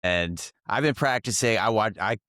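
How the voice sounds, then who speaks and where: Low-voiced man hosting a chat. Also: normal speed, no room sound, studio quality. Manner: relaxed, tells anecdotes from his own practice. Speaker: podcast host. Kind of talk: casual conversation.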